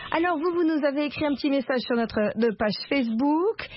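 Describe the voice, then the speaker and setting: A woman's voice: high voice, expressive, fairly quick, lo-fi sound, slight background noise. A woman hosting a radio phone-in, politely greeting callers and prompting them with questions. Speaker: radio host.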